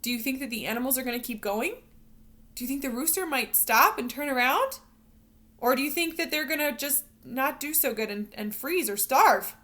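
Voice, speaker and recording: Bright, high-voiced woman reading to children. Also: moderate pace. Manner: expressive, instructional, addressing young children. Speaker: teacher reading a picture book to children. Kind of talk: animated read-aloud storytelling with questions to young learners.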